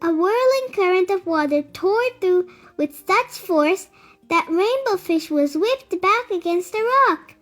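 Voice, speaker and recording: A woman reading a children's story aloud in an animated, deliberate storytelling manner. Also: extremely high pitch, faint music behind. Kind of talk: expressive read-aloud narration for children.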